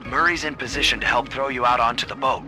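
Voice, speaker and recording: Man as a wry cartoon thief, mid-pitched. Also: steady tone, quick pace, music in the background, lightly acted. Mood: cool, confident. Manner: casual, wry, confident. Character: cool, wry, laid-back male thief cartoon animal hero. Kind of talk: video game cutscene voice acting.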